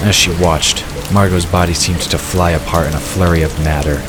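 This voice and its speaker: Very deep-voiced male story narrator. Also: fairly even intonation, normal speed, background noise. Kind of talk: scripted fantasy narration.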